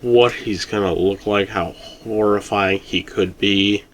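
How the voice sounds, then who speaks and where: Agreeable man with a lowish voice. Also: fairly even intonation, measured pace, a little hiss or hum. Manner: agreeable, brief affirming interjections. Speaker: co-discussant. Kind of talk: casual chat.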